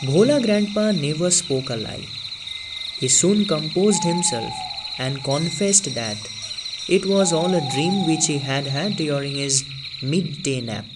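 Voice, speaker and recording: A man reading a story aloud, slow and deliberate, sinking lower for the tense moments. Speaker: story reader. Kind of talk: storybook read-aloud narration.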